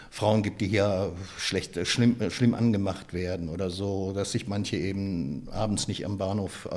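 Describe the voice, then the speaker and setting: A man from the Ruhr area, giving a reflective interview and often pausing with hesitations and restarts. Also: fairly low pitch, darkish tone. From Ruhr area, Germany. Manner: thoughtful, many hesitations and restarts. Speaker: interviewee, photographer and author.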